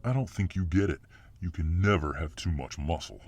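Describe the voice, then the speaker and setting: A man playing a gruff, protective, muscular fireman boyfriend full of tough-guy bluster in an ASMR roleplay. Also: low voice, normal speed, darkish tone. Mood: cocky, irritated.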